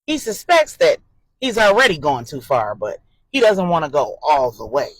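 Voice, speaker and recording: A woman narrating an adult drama story in an expressive, suspenseful way and voicing the characters' dialogue. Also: low-pitched, moderate pace, husky, no echo, low-quality recording.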